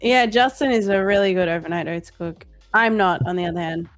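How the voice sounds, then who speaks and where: A woman's voice: lowish voice, brisk, faint music behind. A woman co-hosting a livestream in an informal, bantering chat. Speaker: livestream co-host.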